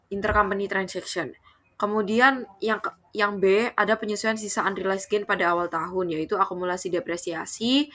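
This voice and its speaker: A young woman with a bright, forceful tone at medium pitch. She explains prepared material step by step, as in a student presentation. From Indonesia. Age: young adult. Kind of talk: scripted accounting presentation.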